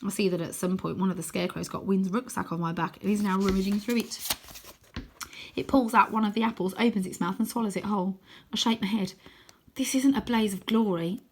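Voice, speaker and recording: Woman, mid-pitched, expressive storybook narration, dramatic, deliberate, suspenseful delivery for children. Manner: dramatic, deliberate, dropping to hushed tones for suspense. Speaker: teacher reading aloud.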